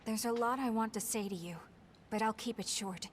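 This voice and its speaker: A woman's voice: fairly high pitch, normal speed, close to an everyday voice. An actress playing an earnest young woman in a video game, sincere and direct. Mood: grateful, restrained. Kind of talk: dramatic video game voice acting. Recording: clear background noise and ambience.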